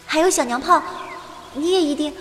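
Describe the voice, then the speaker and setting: High-voiced, earnest young heroine. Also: female voice, brisk, a bit bright, a little hiss or hum. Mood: wistful, tender. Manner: earnest, heartfelt. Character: young heroine adventurer, sincere, hopeful, determined. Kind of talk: audio drama acting with narrated monologue.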